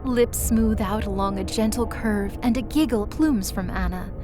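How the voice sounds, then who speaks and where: A woman's voice: medium pitch, moderate pace. A woman narrator reading a scripted dramatic story. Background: music bed.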